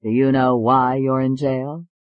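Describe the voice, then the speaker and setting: A narrator voicing a calm male psychiatrist with a slow, soothing manner in an audiobook. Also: medium pitch, dry recording, dull audio, natural voice. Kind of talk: audiobook character voice.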